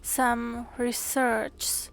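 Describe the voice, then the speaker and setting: A woman streamer reading in-game notes aloud slowly and carefully, sometimes going back over a word. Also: a little high, flat delivery, creaky. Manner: slow, careful reading with small restarts.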